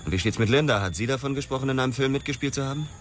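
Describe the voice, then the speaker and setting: An actor playing a blunt young detective who questions a suspect in a brusque, pressing way. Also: male voice, medium pitch, very fast, a little hiss or hum, natural voice. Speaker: police officer. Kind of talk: radio drama acting.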